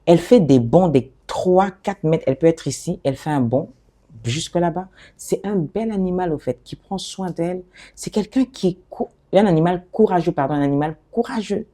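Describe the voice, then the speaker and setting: A middle-aged woman from the DR Congo, an interview guest who talks about her work in an animated, expressive way and often restarts her phrases. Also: fairly low pitch, normal speed. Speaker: guest, fashion designer and author. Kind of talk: conversational interview.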